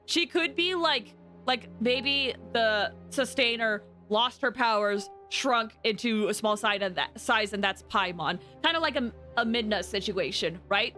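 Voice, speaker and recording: A woman streaming a game with animated, rambling commentary and dramatic outbursts. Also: a little high, measured pace, faint music behind. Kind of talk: excited live gameplay commentary and theorizing.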